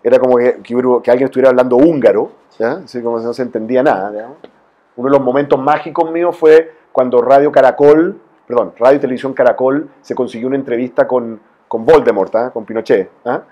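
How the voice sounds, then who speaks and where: A man's voice: medium pitch, fast, muffled recording. A man with a Chilean accent speaking as a forum guest, arguing informally with anecdotes in an emphatic, colloquial way peppered with tag questions. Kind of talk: informal spoken argument with anecdotes.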